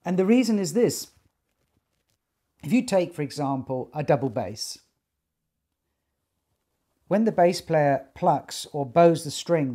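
A man in his sixties giving an informal explanatory talk to camera, speaking in measured, deliberate phrases with pauses. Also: a little high, warm tone. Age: 60s. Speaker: loudspeaker designer and company technical director. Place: small attic listening room indoors.

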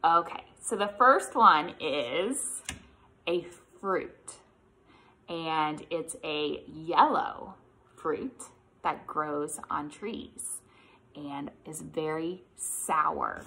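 A woman's voice: low voice, very slow, vocal fry, low-quality recording. An American woman in her thirties leading a children's storytime, with an exaggerated, encouraging way of talking to young kids. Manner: animated, encouraging, exaggerated child-directed delivery with questions. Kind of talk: preschool lesson and picture-book read-aloud.

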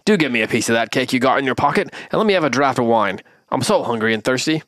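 A man's voice: lively intonation, fast talker, fairly bright tone, no echo, studio quality, lightly acted. A man voicing a little old gray man of the forest, using a put-on accent in a raised voice. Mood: pleading. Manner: put-on accent, higher pitched.

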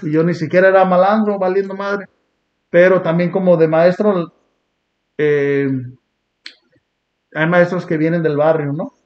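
A man from Long Beach, California, hosting a podcast and talking in an earnest, reflective way with blunt street slang. He sits on a small dark indoor studio set. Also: high voice, steady tone, unhurried, long pauses. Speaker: podcast host. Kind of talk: casual reaction chat. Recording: muffled lo-fi sound.

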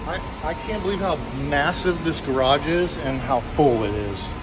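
A man's voice: on the high side, normal speed, heavy background noise. A man in his forties, hosting a car show, joking and wisecracking while he drives a small kit car. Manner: wisecracking, playful. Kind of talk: casual joking chat while driving. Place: inside a cramped kit car cabin.

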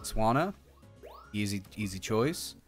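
A man's voice: lowish voice, big pitch swings, takes it slowly. A man in his thirties doing casual, jokey gaming commentary, thinking aloud and swearing now and then. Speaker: game streamer. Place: indoor streaming setup. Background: video game music.

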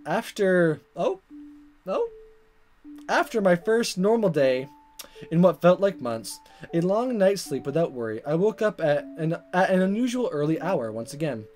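A man reading a visual novel aloud as a let's player, in expressive narration with casual asides and stumbles that he corrects. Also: high-pitched, unhurried, soft background music. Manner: animated, stumbles over words and corrects himself.

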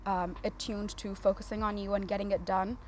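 A woman's voice: mid-range voice, flat delivery, brisk, darkish tone, faint music behind. A woman in her twenties chatting casually and playfully as a guest on a TV talk show, poking fun at herself. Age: 20s. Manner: playful, self-deprecating. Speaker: guest, air traffic controller. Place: TV studio with sofas.

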